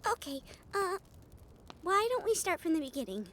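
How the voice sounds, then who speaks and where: A woman playing a cheerful, caring young female harpy in an audio roleplay, gushing, excitable and soothing. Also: very high-pitched, normal speed, heavily acted.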